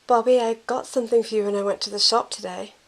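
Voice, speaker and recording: A woman playing a caring, reserved young woman in an amateur audio drama, soft-spoken and reassuring. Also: a little high, fairly even intonation, fast, lightly acted. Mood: warm, casual.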